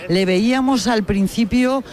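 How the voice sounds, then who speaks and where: A woman's voice: on the high side, unhurried, a little mellow. A woman hosting a live outdoor radio broadcast in a conversational way. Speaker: radio host.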